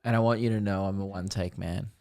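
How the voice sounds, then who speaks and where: A man's voice: sits low, steady tone, quick pace, darkish tone. Casual young Australian man, blokey podcast co-host. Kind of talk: casual chat.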